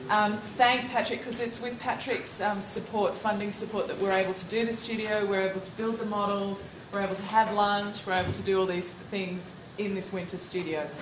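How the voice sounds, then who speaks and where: A woman's voice: mid-range voice, fairly even intonation, a little fast, few pauses. A woman hosts a symposium and introduces a speaker, giving thanks with an occasional stumble. She sits far from the microphone in an echoing room, with noise underneath. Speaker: symposium host. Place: lecture room or studio space. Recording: roomy hall from far off, noisy.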